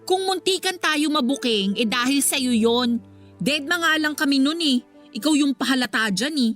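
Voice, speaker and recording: A woman's voice: high voice, expressive, normal speed, fairly bright tone, soft background music. A woman with a Filipino accent playing a defiant, argumentative mistress who talks back in a radio drama. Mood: indignant. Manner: sassy, argumentative.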